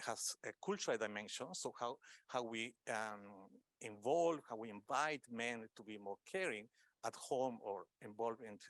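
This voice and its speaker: A middle-aged man from Peru, speaking as an academic on a conference panel, unscripted and halting, often searching for words between fillers. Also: medium pitch, fairly bright tone, dry recording.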